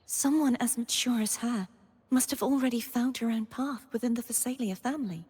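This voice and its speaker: Soft, husky, solemn young knightly maiden. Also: female voice, on the high side, normal speed. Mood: remorseful, tender. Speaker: game character voice actor. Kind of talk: scripted character monologue. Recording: long reverb on the voice.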